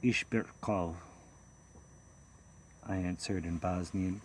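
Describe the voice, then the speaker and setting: A man narrating a first-person memoir audiobook in a measured, steady storytelling manner. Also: lowish voice, a little hiss or hum.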